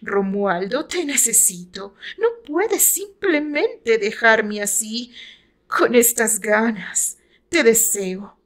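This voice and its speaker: Woman acting out a seductive, pleading high-voiced female lover. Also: animated, slow pace, fairly bright tone.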